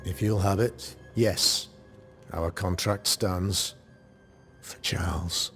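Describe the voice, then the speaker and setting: A man playing a pious, grave colonial demonologist in a video game, speaking in a formal, measured, rhetorical way. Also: low-pitched, fairly even intonation, fairly bright tone, soft background music, full character voice. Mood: grave, courteous. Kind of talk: dramatic video game cutscene acting.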